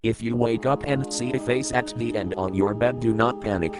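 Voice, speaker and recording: A man's voice: medium pitch, moderate pace, lightly acted. A man playing an ominous narrator who recites horror survival rules in terse instructions. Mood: ominous, instructing. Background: loud background music.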